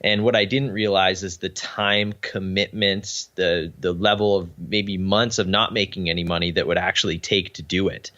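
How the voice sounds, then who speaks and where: A man's voice: low-pitched, fairly even intonation, normal speed, few pauses, pushed and bright, dull audio. A young Canadian man, a podcast guest, talking earnestly in a casual interview with frequent ums. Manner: earnest, uses many ums.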